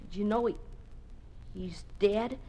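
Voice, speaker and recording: A performer playing an earnest, distressed schoolboy scout in a 1950s radio drama, plaintive and halting. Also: mid-range voice, mellow. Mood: nervous, accusing.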